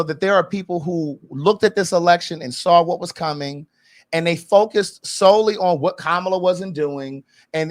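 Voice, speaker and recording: A man in his forties hosting a casual chat, stressing his points by repeating them and laughing heartily. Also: on the high side. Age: 40s. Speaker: podcast host.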